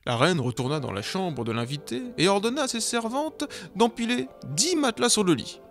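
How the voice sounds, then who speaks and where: A man's voice: sits high, expressive, moderate pace, fairly bright tone, faint music behind. A man narrating a cartoon fairy tale in a mock-solemn, playful way. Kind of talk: storybook narration.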